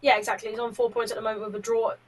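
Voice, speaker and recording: A woman's voice: fairly high pitch, flat delivery, very fast, breathy edge, distant mic. Chatty female co-commentator. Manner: chatty, upbeat analysis. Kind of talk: color commentary.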